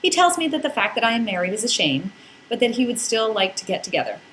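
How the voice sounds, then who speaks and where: A woman's voice: a little high, big pitch swings, fast talker, fairly bright tone, a little hiss or hum, poor audio. A woman narrating a scripted first-person comic story in a playful, wry, theatrical way with dramatic emphasis. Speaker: podcast narrator reading a blog.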